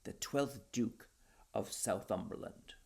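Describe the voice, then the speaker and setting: A man voicing a haughty, irascible Duke in a story, pompous and scornful, with a gravelly voice. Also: fairly low pitch, slow pace, darkish tone, in a whisper, heavily acted. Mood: indignant rage.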